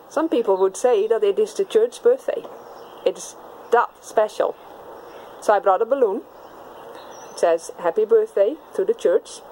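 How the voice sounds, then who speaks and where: A woman's voice: mid-range voice, a little mellow, poor audio. Dutch accent lady explaining stuff to kids, plain middle voice, bit of faint noise. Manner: simple, clear explanations to kids. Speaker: children's message presenter. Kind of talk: friendly talk to children.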